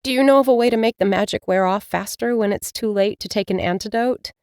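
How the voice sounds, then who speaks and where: A woman voicing an upset young witch, high and emotional. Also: quick pace, a little mellow, no room sound, clean studio sound, natural voice.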